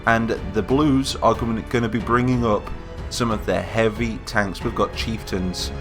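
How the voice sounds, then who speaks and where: Man with a head cold, nasal, mid pitch; rambling game commentator over soft music. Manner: chatty, rambling, self-correcting. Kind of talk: casual gameplay commentary.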